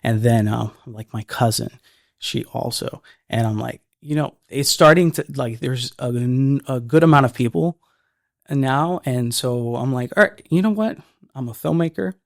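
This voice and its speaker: This is a man of ordinary pitch who speaks earnestly in a relaxed, conversational way. He often stumbles and repeats words as he works out his thoughts. His voice sits close and dry, with no room sound around it. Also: normal speed, gravelly, no echo. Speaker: filmmaker guest. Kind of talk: casual podcast conversation.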